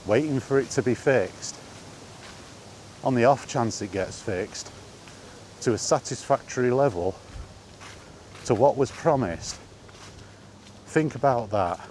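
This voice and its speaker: A man giving an unscripted opinion monologue in an emphatic, deliberate manner, leaning on rhetorical questions and repetition. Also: mid-range voice, expressive, a little mellow, a little hiss or hum. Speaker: video host sharing opinions on gaming.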